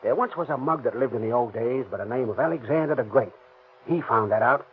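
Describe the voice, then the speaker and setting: An actor playing a brash, cocky burglar who talks tough slang in a 1930s radio crime drama. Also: male voice, medium pitch, brisk, close to an everyday voice. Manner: slangy, tough, swaggering talk. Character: cocky, brash gangster burglar, impatient and forceful. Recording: tinny, noisy 1930s radio recording.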